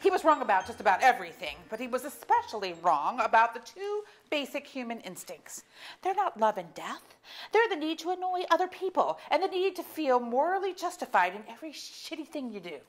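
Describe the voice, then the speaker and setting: A woman's voice: high voice, big pitch swings, brisk. A woman playing a blunt, irritable middle-aged wife and weary therapist in a staged play reading, with an emphatic, sardonic delivery. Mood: indignant.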